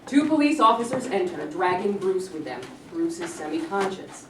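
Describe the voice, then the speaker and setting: A woman's voice: on the low side, very animated, moderate pace, a bit bright. A woman narrator reading stage directions aloud from a script. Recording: echoey room, distant mic, clearly noisy.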